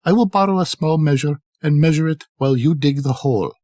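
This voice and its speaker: A man's voice: a little high, fairly quick, dark tone, no echo, clean studio sound, voice pushed up, close to an everyday voice. An older man voicing an eager, polite wife in an old folktale, with formal, earnest speech.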